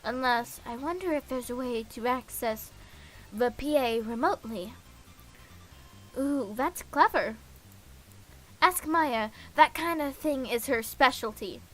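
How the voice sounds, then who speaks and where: A woman's voice: high voice, takes it slowly, long pauses, fairly bright tone, soft background music, rough recording. A woman streaming a game, reading visual novel dialogue aloud in a casual, playful way.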